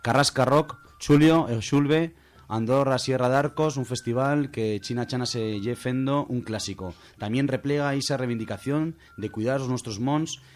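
A man's voice: mid-range voice, normal speed, slight background noise. A man presenting semi-scripted radio listings, going through them hesitantly and sometimes repeating words. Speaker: radio show contributor presenting music and festival picks.

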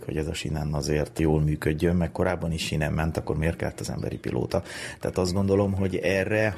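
Male expert interviewee, very low and mellow, explanatory, reasons through everyday examples. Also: gravelly.

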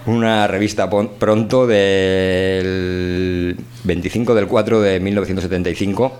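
A man with a Spain accent and a fairly low voice. He hosts a casual radio talk, rambling informally from one thought to the next. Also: fairly even intonation, a little slow, a little mellow, smooth. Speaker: radio show host. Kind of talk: casual conversational radio chat.

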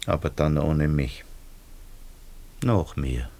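A man's voice: very deep voice, takes it slowly, creaky, dark tone, full character voice. An actor in a radio play playing an older Viennese hairdresser, a self-serving, cynical father who coaxes and grumbles with an Austrian accent. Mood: soothing, grumbling.

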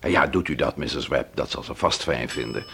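A man's voice: a bass voice, a little fast, vocal fry, bright, forceful tone, a little hiss or hum, full character voice. An actor playing a polite, flustered Englishman in a Dutch radio drama, speaking hesitantly and often repeating words. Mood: polite, absent-minded. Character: polite, flustered middle-class Englishman, bereaved brother.

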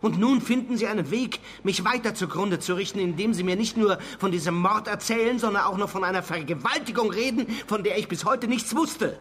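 A man's voice: high voice, brisk, hardly any pauses, a bit bright. An actor in a German radio drama playing a bitter, indignant widower, strained as he confronts his wife's killer. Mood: bitter, accusing.

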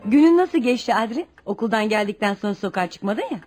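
A woman's voice: mid-range voice, expressive, fast talker, darkish tone, soft background music, natural voice. An actress playing a fretful, caring mother and kindly neighbour in a radio play. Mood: caring, worried. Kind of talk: Turkish radio theatre acting.

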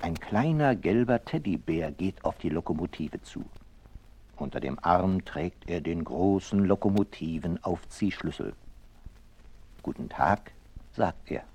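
A man narrating a scripted children's story in a measured, expressive way. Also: sits low, breathy edge.

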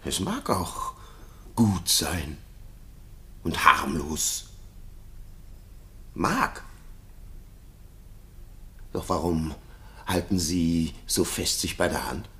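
An actor playing a jealous, tormented king in a classical radio play, speaking in a halting, theatrical, brooding way. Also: sits low, very animated, fairly bright tone, heavily acted. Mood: suspicious, agitated.

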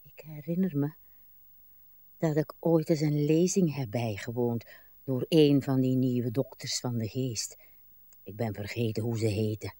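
Tired wistful old lady in a radio drama, really slow n pensive, close dry sound. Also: rumbling low, mellow, dry recording. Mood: brooding. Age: older. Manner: slow, halting, pensive delivery with drawn-out sighs. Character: weary, wistful, brooding old Irish countrywoman, a wife. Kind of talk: literary radio drama acting.

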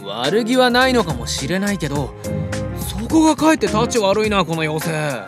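A man voicing a cheerful, relaxed younger brother who talks casually and calms his older brother, in a drama CD. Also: sits high, moderate pace, over music. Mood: easygoing. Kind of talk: anime drama CD acting.

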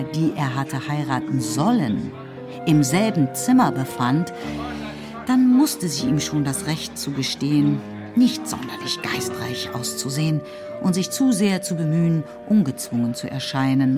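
A woman's voice: deep voice, animated, a little slow. A woman narrating a literary radio play in a scripted storytelling style. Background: music.

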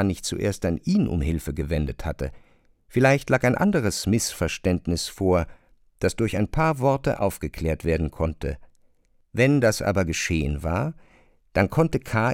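A man narrates a literary radio play with expressive, measured delivery. His pitch moves in a lively way, and the sound is close and dry. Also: no echo.

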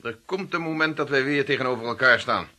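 An actor playing a composed, ironic gang boss in a radio drama, speaking in a dry, superior, measured manner. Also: male voice, mid-range voice, pushed and bright. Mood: coolly ironic.

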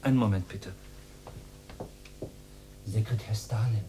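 An actor in a radio drama playing a wary, subdued studio assistant, speaking in a hushed, hesitant way. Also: male voice, lowish voice, unhurried, close to an everyday voice. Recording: humming, faintly noisy radio play recording.

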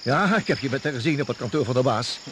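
An actor playing a mocking, goading prisoner among jungle survivors in a radio drama. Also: male voice, mid-range voice, animated, fast, noisy background, natural voice. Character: taunting, needling male prisoner in jungle thriller.

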